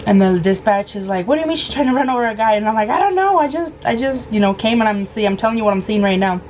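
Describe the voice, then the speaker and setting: A woman in her thirties with a hoarse, sore throat, telling a casual storytime vlog and acting out the quoted lines. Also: on the high side, fast talker, a little hiss or hum. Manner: animated, acts out quoted lines. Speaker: vlogger.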